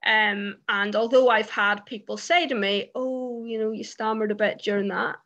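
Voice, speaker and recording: A woman's voice: a little high, steady tone, moderate pace, fairly bright tone. A British woman on a panel who stammers, talking casually with many fillers and repeated words. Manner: stammers, frequent fillers and repeats, laughs between points.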